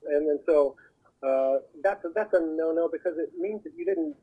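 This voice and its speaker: A man speaking as a talent agent guest, giving advice in an earnest, halting, conversational way over the telephone. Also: a little high, sing-song, husky, soft background music. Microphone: telephone call. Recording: muffled narrow phone line.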